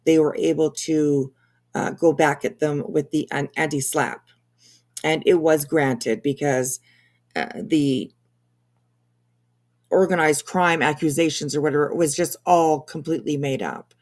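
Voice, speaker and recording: Halting, casual woman chatting on a livestream. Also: low-pitched, a little mellow. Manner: halting, rambling explanations. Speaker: livestream co-host. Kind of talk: casual commentary chat.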